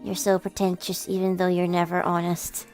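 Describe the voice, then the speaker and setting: Woman acting soft-spoken cool cat girl, subdued, smooth, understated, visual novel character, faint music. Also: fairly low pitch, normal speed, voice pushed down, lightly acted. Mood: calm, teasing. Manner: understated, flat, smooth delivery.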